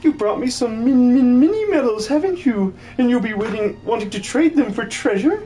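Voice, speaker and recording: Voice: medium pitch, expressive, moderate pace, warm tone, faint music behind, pitched above the performer's own voice, lightly acted, rough recording. A performer voicing a jolly, fussy old hermit who stutters by repeating words in threes, for a video game dub. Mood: jolly, excitable. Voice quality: stuttering triple repetition of words. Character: excitable old hermit with a repeating verbal tic.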